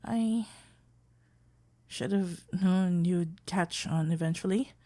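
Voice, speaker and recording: A woman playing a shy, smitten florist best friend in a romantic ASMR roleplay, speaking hesitantly with stammers and halting phrases. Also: lowish voice, warm tone. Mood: flustered, nervous. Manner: hesitant, stammering, halting, with whispered breathy moments.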